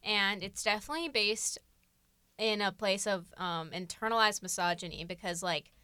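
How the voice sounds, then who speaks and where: A teenage girl co-hosting a casual podcast chat, self-mocking and quick to laugh. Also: mid-range voice, sharp tone, clear, resonant tone, dry recording. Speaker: podcast co-host.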